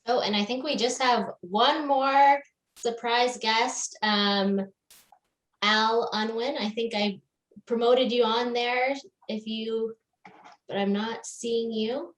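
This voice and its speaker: Higher voice organizer lady, chatty, sounds echoey like a big room. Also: fairly even intonation, slow pace. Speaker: session organizer. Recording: roomy echo on call audio.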